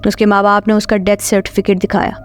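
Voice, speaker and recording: A woman's voice: medium pitch, completely flat delivery, fast talker. A woman reading a scripted horror story as its narrator. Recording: clear music bed under voice.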